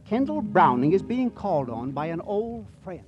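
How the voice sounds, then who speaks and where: Animated, higher-pitched 1940s male radio host. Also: moderate pace, muffled recording, over music. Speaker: host and narrator. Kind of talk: 1940s radio scripted introduction. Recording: vintage 1940s radio broadcast.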